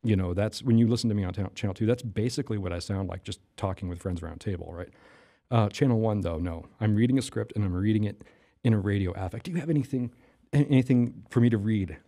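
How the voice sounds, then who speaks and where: A man's voice: fairly low pitch, fast, mellow. A man, a podcast host, chatting as a guest in a casual interview and often asking "you know what I mean.".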